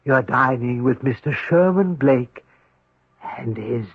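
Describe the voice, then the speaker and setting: Slow, formal, sinister servant, dull radio. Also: male voice, medium pitch, lively intonation, husky, no echo. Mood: coolly persuasive. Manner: formal, deliberate, servile politeness. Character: mysterious supernatural servant granting wishes, calm, courteous, sinister. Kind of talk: radio drama acting. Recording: dull old radio broadcast recording.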